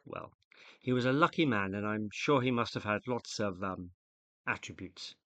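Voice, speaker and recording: A man with a British accent playing a polite, flattering, inquisitive suitor with a hesitant manner in a radio play. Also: on the low side, normal speed, no room sound, highs cut off, close to an everyday voice. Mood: flattering, probing. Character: tentative, flattering, inquisitive male suitor of a widow.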